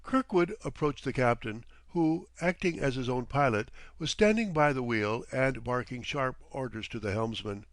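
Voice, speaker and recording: A male narrator reads literary fiction with deliberate, dramatic phrasing. His intonation moves widely and expressively. The sound is dry and close, with no room around it. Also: mid-range voice, lively intonation, no echo. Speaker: audiobook narrator.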